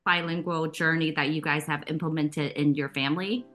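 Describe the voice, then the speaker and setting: A woman co-host introduces the guest in a scripted, even way. Her voice is very low yet bright and forceful. Faint music sits under her. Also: normal speed.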